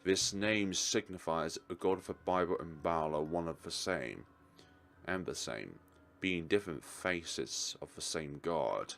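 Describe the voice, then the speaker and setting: An ominous hooded man acted out by a male game reader speaks in slow, portentous phrases. The voice is very low and creaky, solemn and cryptic like a dark prophet. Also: soft background music, heavily acted. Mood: ominous, grand.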